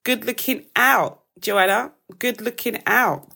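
A young woman with a gravelly, croaky voice leads a live devotional. She takes her time, praying and then walking her listeners through a Bible verse in an easygoing, conversational way. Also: a little high, slow, bright, forceful tone. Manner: casual, chatty Bible teaching to a live audience. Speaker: devotional host. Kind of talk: live-streamed daily devotional and prayer.

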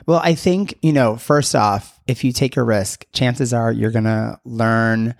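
A man's voice: medium pitch, measured pace, creaky, dry recording, clean studio sound. A young adult man, a teacher co-hosting a casual podcast, talking enthusiastically with lots of fillers. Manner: enthusiastic, uses many fillers. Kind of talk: casual conversation.

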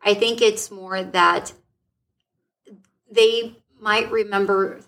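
A woman's voice: medium pitch, fairly even intonation, takes it slowly, a bit bright. A woman co-hosting a casual podcast, chatty and laughing often, restarting her sentences as she thinks aloud. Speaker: podcast co-host.

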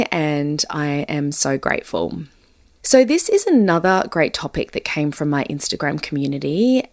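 A woman podcast host, hoarse and raspy from being unwell, talking candidly in a conversational way. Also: low voice, a little mellow, no room sound, clean studio sound. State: hoarse from illness. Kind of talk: solo podcast monologue.

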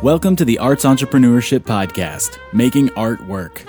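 A man reading a scripted podcast intro and legal disclaimer in a measured announcer style. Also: medium pitch, animated. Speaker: show announcer. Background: soft guitar music.

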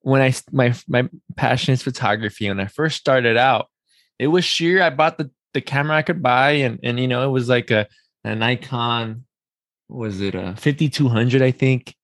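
A man's voice: medium pitch, normal speed, a bit bright, dry recording. A man hosting a casual interview, thinking aloud in a rambling way and repeating words for emphasis. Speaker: podcast host.

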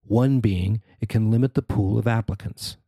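A man's voice: on the low side, normal speed, warm tone, slightly rough, no room sound, clean studio sound. A man reading a scripted news report as its reporter and narrator.

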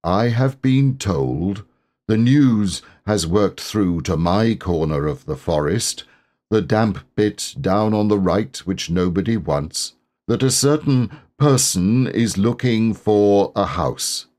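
A man's voice: fairly low pitch, animated, slow, darkish tone. A man voicing a gloomy, aggrieved old storybook donkey, speaking in a ponderous, sarcastic, mournful manner. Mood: aggrieved, disdainful.

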